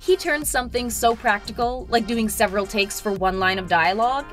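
A woman's voice: fairly high pitch, brisk, fairly bright tone. A woman hosting an entertainment countdown, reading an upbeat scripted narration. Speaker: host and narrator of a film countdown. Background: background music.

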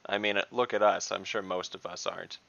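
A man playing a tabletop game on a video call, speaking in character with blunt, deliberate talk. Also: sits low, steady tone, gravelly, fairly bright tone, dry recording, highs cut off. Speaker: player.

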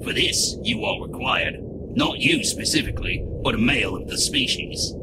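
Stern alien scientist, played by a man, mid-pitched, clinical and stilted, buried in loud background noise. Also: huge pitch swings, moderate pace, vocal fry, exaggerated voice. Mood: polite, clinical. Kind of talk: comic-book dub.

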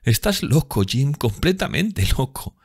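Un hombre que da voz a una joven actriz enamorada y risueña, con un habla musical y exclamativa, en un audiolibro. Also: male voice, medium pitch, very animated, moderate pace, a little mellow, husky, no echo, studio quality, pitched above the performer's own voice. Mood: scolding, affectionate. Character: giddy, lovestruck young woman, a dreamy actress.

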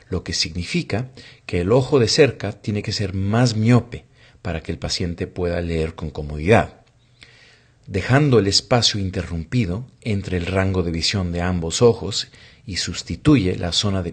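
A man's voice: low-pitched, slightly rough. A man narrating a scripted medical explainer video in a formal, instructional manner.

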